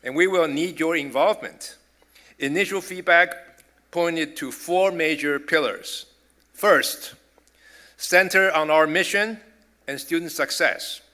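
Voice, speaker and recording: A middle-aged man from Taiwan with a Taiwanese accent, delivering a formal prepared speech as a college president in a measured, earnest way. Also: a little high, sharp tone.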